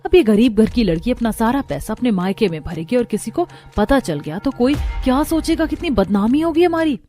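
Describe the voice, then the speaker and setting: A woman's voice: fairly high pitch, animated, a little fast, mellow, faint music behind, lightly acted. A woman playing a frank young sister-in-law from a wealthy family in a story, gossipy and matter-of-fact. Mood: disapproving.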